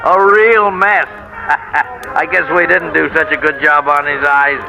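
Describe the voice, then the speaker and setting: Chatty, higher-voiced old-army doctor. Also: male voice, fairly even intonation, measured pace, creaky, poor audio. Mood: jovial. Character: chatty, chuckling former army captain and boxing doctor. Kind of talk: 1940s radio drama acting. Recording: vintage radio recording, music behind.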